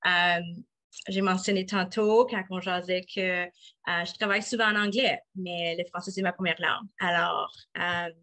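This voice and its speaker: A middle-aged woman, a municipal councillor answering interview questions haltingly, with many fillers and restarts. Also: fairly low pitch, steady tone, bright, forceful tone. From Eastern Ontario, Canada.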